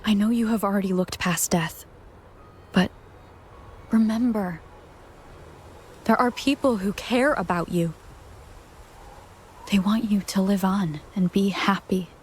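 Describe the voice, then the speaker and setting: A caring young game heroine, acted by a woman. Her medium-pitched voice moves very slowly, thoughtful and reserved. Faint background noise runs under her earnest delivery. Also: darkish tone, close to an everyday voice. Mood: earnest concern. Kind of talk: scripted video game voice acting.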